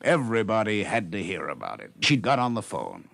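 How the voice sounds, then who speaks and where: A man's voice: fairly low pitch, wildly swooping pitch, fairly quick, vocal fry, a bit bright. An American man reading scripted narration in the manner of a 1950s radio documentary narrator.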